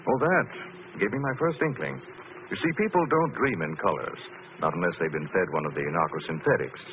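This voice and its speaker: An actor playing a suave, unruffled gentleman sleuth in a 1940s radio drama, explaining things in an urbane way. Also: deep voice, animated, a little fast. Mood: urbane, playful. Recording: tinny old radio recording, clear noise.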